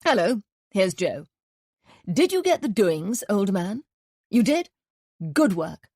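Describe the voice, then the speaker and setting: A woman reading an audiobook, voicing a cordial, airy, well-spoken gentleman. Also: mid-range voice, sing-song, slow, breathy edge, no echo, studio quality, heavily acted. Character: cordial, breezy upper-class gentleman.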